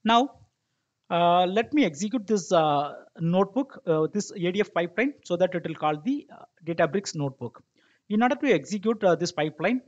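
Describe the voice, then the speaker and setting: A man narrating a software tutorial step by step as an instructor, explaining and checking that listeners follow, in a lo-fi recording. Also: high-pitched. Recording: dull lo-fi microphone sound.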